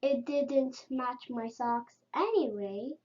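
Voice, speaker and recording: A woman's voice: high voice, slow, darkish tone, recorded from a distance, muffled recording. A woman voicing a kindly, cheerful male giant in a children's storybook. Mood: breezy.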